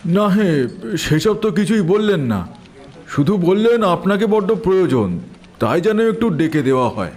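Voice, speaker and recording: An actor playing a fussy, easily annoyed office colleague in an audio drama. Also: male voice, high-pitched, big pitch swings, a little slow, darkish tone. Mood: matter-of-fact. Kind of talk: audio story drama acting.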